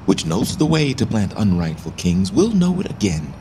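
A man's voice: fairly low pitch, big pitch swings, normal speed, warm tone, a little hiss or hum. A man acting a deposed, grieving, bitter medieval king in a Shakespearean radio drama, speaking formal, poetic verse theatrically. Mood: bitter, accusing.

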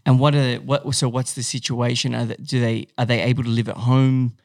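A man interviewing a guest in a casual way, his voice gravelly and close to a dry mic. He asks long, rambling questions and often stumbles and starts over. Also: mid-range voice, flat delivery, brisk, darkish tone, no echo.

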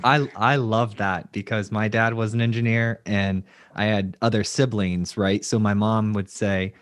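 A man's voice: lowish voice, steady tone, a little slow, no echo, studio quality. A man hosting a podcast, opening the show and chatting casually with an upbeat manner. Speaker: podcast host. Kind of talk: podcast interview.

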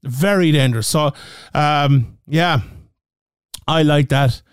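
A man's voice: on the high side, slow pace, smooth, no room sound, studio quality. An Irish man hosting a casual betting show, thinking aloud and checking the odds as he talks. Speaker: host. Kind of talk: casual betting picks talk.

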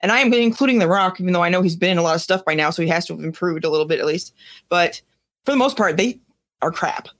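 A woman's voice: lowish voice, fast talker. A woman co-hosting a podcast, chatting casually about pop culture. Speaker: podcast co-host.

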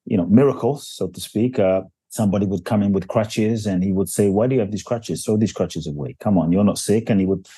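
A man's voice: fairly low pitch, fairly quick, hardly any pauses, dark tone, breathy edge, no room sound. A man from Ghana who grew up in London, a spiritual teacher telling his life story earnestly and reflectively in a podcast interview. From Ghana, raised in London. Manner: earnest and reflective, builds stories with repetition. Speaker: guest, spiritual teacher and author.

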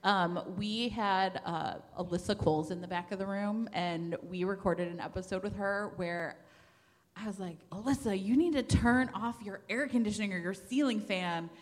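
A woman's voice: medium pitch, normal speed. Wry woman podcaster in an echoey hall. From a city. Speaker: podcast co-host. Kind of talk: casual live panel chat. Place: large indoor event room. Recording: echoey hall, live room microphone.

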